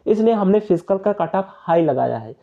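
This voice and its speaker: Young guy, pretty high voice, earnest explainer talking to viewers, kinda muffled lo-fi audio. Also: lively intonation, normal speed, gravelly. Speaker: exam-prep YouTuber. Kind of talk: informal explainer addressing viewers.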